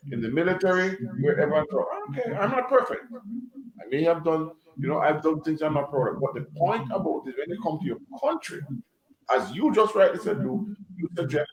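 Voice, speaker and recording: A Jamaican man makes his case emphatically on a discussion panel, speaking in a somewhat high voice. His audio has a roomy echo to it. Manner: forceful, emphatic, preachy. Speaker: panel guest.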